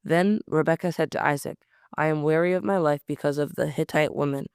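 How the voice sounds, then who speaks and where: A man reading scripture aloud at a steady pace, often stumbling over words and correcting himself. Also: a little high, fairly quick, smooth, no echo, clean studio sound. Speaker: Bible reader.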